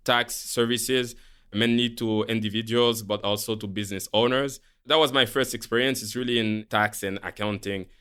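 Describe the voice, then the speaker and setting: Haitian French-accented man, bright and clear. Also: mid-range voice. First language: Haitian Creole. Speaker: guest, portfolio manager. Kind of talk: podcast interview.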